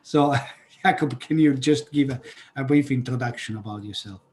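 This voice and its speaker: A man's voice: medium pitch, normal speed, darkish tone, a little hiss or hum. Hesitant male panel moderator. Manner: hesitant, many fillers.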